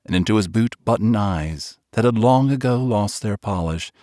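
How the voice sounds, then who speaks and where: A man narrating a children's storybook in a gentle, expressive way, slowing on the tender lines. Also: lowish voice, no echo, studio quality. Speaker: audiobook narrator.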